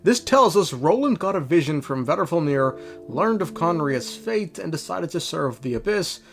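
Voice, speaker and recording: A man with a fairly high, very animated voice narrates a story recap. His delivery is irreverent and slangy, with a tongue-in-cheek edge. Faint music plays behind him. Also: normal speed, vocal fry. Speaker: video creator recapping game lore.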